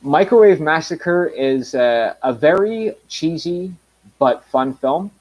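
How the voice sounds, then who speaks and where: A man's voice: fairly high pitch, lively intonation, slow, darkish tone, low-quality recording. A man in his thirties hosting his own channel, chatting casually on a live stream with lots of fillers and restarts. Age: 30s. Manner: rambling, many fillers and restarts. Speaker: channel host.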